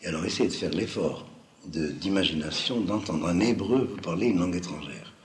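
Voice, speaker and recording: A man from Algeria, a native Judeo-Arabic speaker with a slight Toulouse-like French accent, giving an unscripted, didactic lecture in a halting way with emphatic repetitions. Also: low voice. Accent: slight southwestern French (Toulouse-like). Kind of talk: extemporaneous lecture to listeners. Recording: roomy echo, faint background noise.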